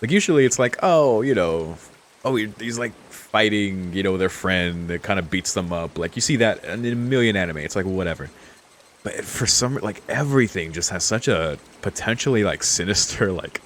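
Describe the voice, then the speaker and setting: A man's voice: lowish voice, animated, normal speed, a bit bright, slight background noise. A man reading a visual novel aloud and giving casual, chuckly commentary as a streamer.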